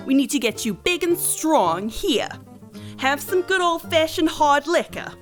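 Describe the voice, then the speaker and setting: A woman's voice: high-pitched, expressive, a little slow, soft background music. A woman playing a flamboyant, motherly deity of wine and feasting in a fantasy audio roleplay, coaxing, playful and theatrical. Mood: doting, delighted.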